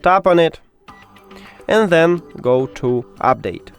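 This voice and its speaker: A man's voice: fairly high pitch, lively intonation, takes it slowly, smooth, faint music behind. A man presenting a step-by-step tech tutorial in non-native English, with dropped articles and small slips in phrasing. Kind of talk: step-by-step how-to narration.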